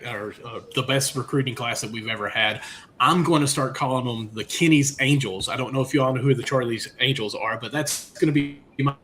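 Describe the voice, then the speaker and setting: A man's voice: medium pitch, a bit bright, a little hiss or hum, rough recording. A man hosting a casual sports podcast, asking long, rambling questions full of fillers. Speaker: podcast host.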